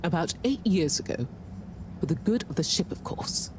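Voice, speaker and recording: A woman's voice: fairly low pitch, animated, moderate pace, background noise, lightly acted. An actress playing an imperious middle-aged female ship CEO in a video game, haughty, smug and dry. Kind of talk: scripted video game dialogue.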